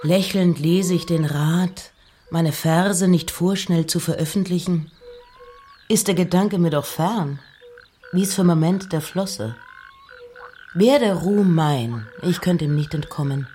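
An actress playing a reclusive, wry woman poet, reading letters and poems in a measured, deliberate literary radio style. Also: lowish voice, warm tone, a little hiss or hum. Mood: wry, musing. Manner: measured, deliberate, pausing between short phrases.

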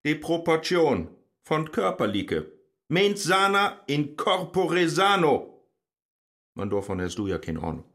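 An actor playing a disillusioned, boastful scholar in a rhymed radio play, theatrical and declamatory, with a Low German accent. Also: male voice, sing-song, slow, fairly bright tone, heavily acted. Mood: boastful, mocking. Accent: Low German, northern Germany.

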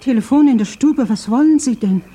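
An older actress playing a frightened old woman held hostage in a German radio play, pleading and halting. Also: a little high, lively intonation, warm tone, slightly rough.

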